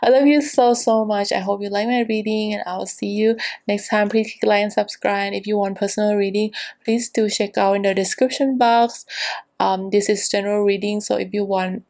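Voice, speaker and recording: A woman giving a casual, rambling tarot reading to her viewers, full of fillers and restarts, with a gentle, reassuring manner. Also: medium pitch, fairly even intonation, moderate pace. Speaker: tarot reader.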